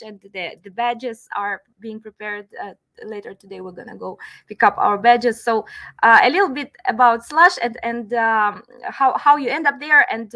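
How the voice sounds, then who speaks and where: A woman's voice: on the high side, bright, forceful tone. A woman hosting a live broadcast question-and-answer session, introducing topics and putting questions to a guest. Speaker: host of a live Q&A.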